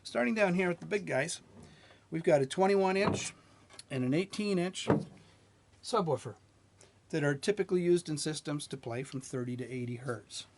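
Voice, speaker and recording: A middle-aged man, an engineering manager, giving a measured technical lesson at a whiteboard. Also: fairly high pitch, creaky, a little mellow, slight background noise. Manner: plain, measured teaching delivery with light restarts. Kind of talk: explaining at a whiteboard.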